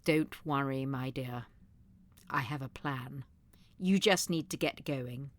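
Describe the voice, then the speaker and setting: A woman's voice: extremely low pitch, slow. A woman playing a feisty, wry old woman with a rebellious streak in an audio drama. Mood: resolute. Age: older.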